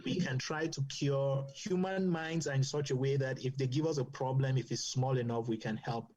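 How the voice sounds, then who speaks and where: A man's voice: medium pitch, fairly even intonation, moderate pace, darkish tone. A man from Cameroon, a doctor speaking earnestly as a podcast guest, often restarting his sentences. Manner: earnest, advocating, with frequent restarts. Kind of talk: earnest explanatory podcast talk.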